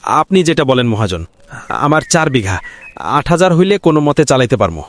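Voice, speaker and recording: A man's voice: mid-range voice, very animated, moderate pace, lightly acted. A man voicing a desperate pleading farmer. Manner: pleading, alarmed.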